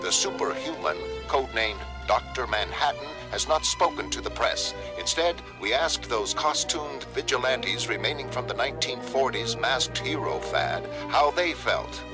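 Man as an emphatic old-time TV newsman, raised pitch. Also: sing-song, normal speed, few pauses, music in the background, natural voice. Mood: urgent, formal. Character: solemn, emphatic 1960s television newsman. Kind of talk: news bulletin.

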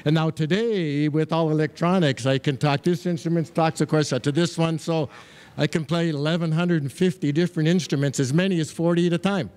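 A man's voice: fairly high pitch, fairly even intonation, fairly quick, a little mellow. An older man, a guest musician, answering interview questions in a genial, easygoing way on a fairground barn stage. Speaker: accordionist guest. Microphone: host's handheld mic.

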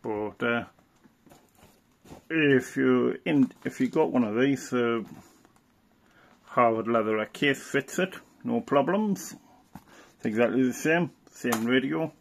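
A man's voice: mid-range voice, takes it slowly, vocal fry, pauses often. A man giving an informal, unscripted hands-on demonstration, talking hesitantly and sometimes trailing off. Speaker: presenter showing vintage radio gear. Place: indoors at a worktop.

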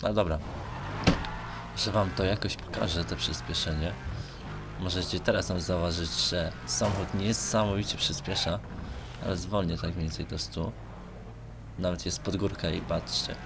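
A man's voice: low voice, fairly even intonation, moderate pace, long pauses, over music. A young man from Poland talking casually and hesitantly as he plays a game, in a rambling, colloquial way. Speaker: gaming video creator. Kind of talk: casual rambling talk over gameplay. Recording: noisy home microphone recording. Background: noise with car engine sounds from the game.

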